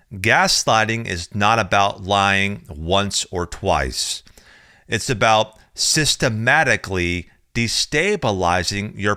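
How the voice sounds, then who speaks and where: A man reading a chapter of his own book aloud in a slow, measured, deliberate way, recorded close on a studio mic. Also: fairly low pitch, sharp tone, no room sound. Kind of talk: audiobook reading.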